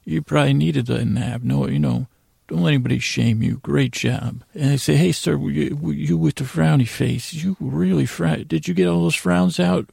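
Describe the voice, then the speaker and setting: Very gravelly, mellow man rambling at bedtime. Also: fairly high pitch, no echo, clean studio sound. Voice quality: gravelly, creaky. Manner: meandering, halting, trailing off mid-sentence. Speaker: host of a bedtime sleep podcast.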